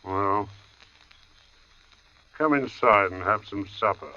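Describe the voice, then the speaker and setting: A slow muttering gravestone carver, kinda high and uneasy, dull old radio recording. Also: male voice, sharp tone, faint music behind, exaggerated voice. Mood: puzzled, uneasy. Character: sweating, plain-spoken English tombstone carver, puzzled and superstitious. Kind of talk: 1940s radio drama acting.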